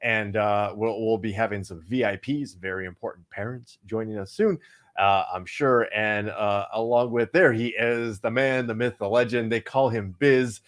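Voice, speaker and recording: A man with a lowish voice co-hosts a casual podcast. He rambles with plenty of fillers and restarts. He breaks into sudden excited bursts. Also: normal speed. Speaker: co-host.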